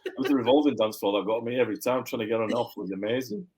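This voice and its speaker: A man's voice: mid-range voice, racing, a little mellow, husky. A man with a mixed northern English accent, chatting as a guest author in a loose, rambling way full of fillers and restarts. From Yorkshire, England. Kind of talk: casual interview chat.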